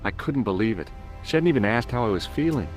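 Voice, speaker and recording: Man playing young American tourist, sardonic, easygoing, animated pitch, conversational game hero, light music behind. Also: quick pace, natural voice. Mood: chatty, eager. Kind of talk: video game dialogue and narration.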